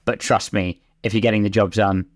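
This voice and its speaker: A man's voice: fairly low pitch, fairly even intonation, a little fast. A man talking as a racing driver and pundit, giving conversational, discursive analysis in an emphatic way.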